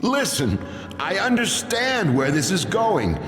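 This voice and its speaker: A man's voice: high voice, huge pitch swings, takes it slowly, a bit bright, full character voice. A man playing a guilty, desperate penitent begging for mercy, in a pleading theatrical style. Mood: pleading, contrite. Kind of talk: theatrical drama. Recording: echoey hall, long reverb, faint music.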